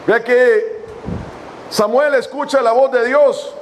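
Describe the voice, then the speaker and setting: High-voiced man preaching a sermon. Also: steady tone, a little hiss or hum. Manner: preaching with long pauses, reading scripture slowly. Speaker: pastor. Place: church hall.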